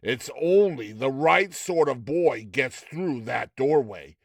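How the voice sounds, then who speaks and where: A man's voice: medium pitch, expressive, slow pace, gravelly, no room sound, studio quality, exaggerated voice. A man voicing an affable, uncanny shopkeeper and conjurer in a storybook reading, courteous and emphatic in a theatrical way.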